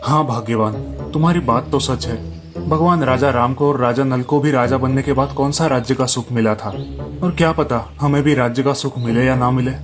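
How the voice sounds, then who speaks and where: A man voicing a humble, earnest, deferential village weaver in an animated storybook tale. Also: mid-range voice, normal speed, music in the background, close to an everyday voice. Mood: puzzled.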